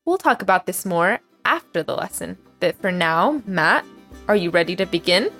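Lively young woman teaching a language. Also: lowish voice, moderate pace, faint music behind. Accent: American English, Texas. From Iran. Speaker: language teacher, podcast host. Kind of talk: scripted podcast lesson.

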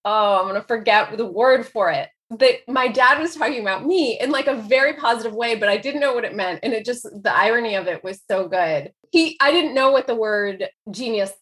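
A woman's voice: on the high side, lively intonation, fairly quick, few pauses, fairly bright tone. American woman, 40s, author guest, rambling chatty delivery with lots of laughs. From United States. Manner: chatty, laughs often, many "like" fillers. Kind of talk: casual conversational interview.

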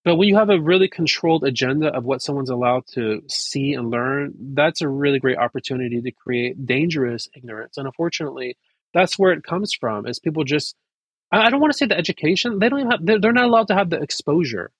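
A man, raised speaking Persian at home, talking in an animated way with quick bursts and restarts as an interview guest. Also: fairly high pitch, slightly rough, no room sound. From United States. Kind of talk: casual interview.

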